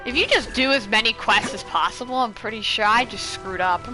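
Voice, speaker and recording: A woman's voice: a little high, fairly quick, low-quality recording. A young woman chatting casually as she gives gameplay advice, rambling and thinking aloud. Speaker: gaming content creator. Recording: game music behind.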